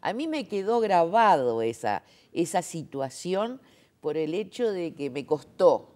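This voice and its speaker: Low-voiced woman explaining emphatically. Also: very animated, a little slow, darkish tone. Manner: explanatory, emphatic, frequent fillers and rhetorical checks. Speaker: interviewee, sign language interpreter. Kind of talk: conversational interview.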